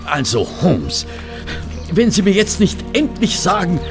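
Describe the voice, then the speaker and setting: An actor playing a fussy gentleman who is a detective's companion and narrator in a German audio drama. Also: high voice, huge pitch swings, over loud music, full character voice. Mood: indignant. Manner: flustered in dialogue, measured and literary when narrating.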